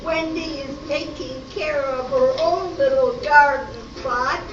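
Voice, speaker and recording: A measured storyteller acted by a woman narrates a radio serial. She is high-pitched and very slow, recorded distantly with echo and music behind her. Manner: deliberate. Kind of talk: scripted radio play narration. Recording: echoey room, distant and muffled.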